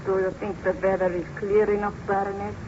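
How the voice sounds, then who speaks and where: An actress playing a grim, strict nurse in old-time radio drama, formal and deferential, on an old radio recording. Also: female voice, mid-range voice, level tone, fairly quick, highs cut off, background noise, close to an everyday voice.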